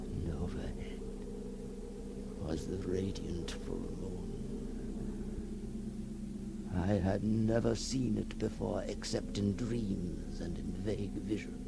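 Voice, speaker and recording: A feverish, halting, awestruck recluse, creaky-voiced. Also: male voice, low voice, steady tone, heavily acted. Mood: hushed awe. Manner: halting, breathless, repeats words, swings from whisper to cry. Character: tormented man emerging from long confinement, awed and feverish. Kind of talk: 1960s radio horror monologue, theatrical. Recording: noisy old 1960s radio recording.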